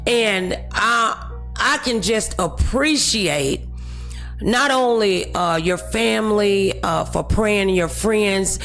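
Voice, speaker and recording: A woman's voice: medium pitch, takes it slowly, fairly bright tone, faint music behind. A woman with an American accent hosting a motivational talk show, speaking in an encouraging, preacher-like way.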